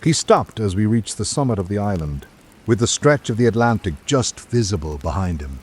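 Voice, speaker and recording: A man playing a reflective traveller, narrating an island visit in measured literary prose for a radio drama. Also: on the low side, animated, a little mellow, breathy edge.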